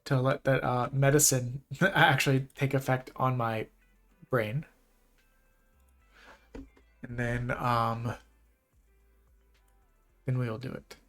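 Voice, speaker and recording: A man streaming a video game, stuffy-nosed and chatting in a relaxed, rambling, self-deprecating way. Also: medium pitch, slow pace, pauses often, faint music behind. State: stuffy, congested nose. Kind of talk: casual live-stream chat while gaming.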